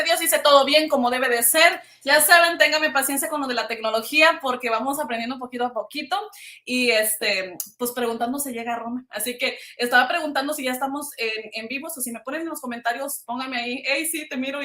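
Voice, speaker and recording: Bright-voiced Mexican woman in a roomy space. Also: high-pitched. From Veracruz, Mexico. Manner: informal, switches between Spanish and English. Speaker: livestream host. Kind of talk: casual live chat with viewers. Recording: roomy, echoing livestream sound.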